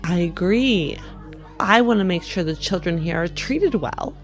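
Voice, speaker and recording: A woman's voice: fairly low pitch, animated, unhurried. A woman who co-hosts a tabletop roleplaying podcast, addressing listeners and playing along. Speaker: podcast host and tabletop player. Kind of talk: show announcement and roleplay. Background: music.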